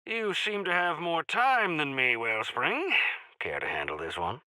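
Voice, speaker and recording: An actor playing a pompous, grandiloquent heroic quest-giver in a video game, with florid, theatrical phrasing. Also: male voice, on the high side, very animated, measured pace, pushed and bright, no room sound, exaggerated voice, low-quality recording. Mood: earnest, courteous. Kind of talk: video game character voice lines.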